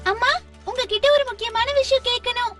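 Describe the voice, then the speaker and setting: Very high-pitched eager little girl. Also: steady tone, brisk. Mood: pleading. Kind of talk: Tamil children's cartoon dub. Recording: distant sound, loud children's music underneath.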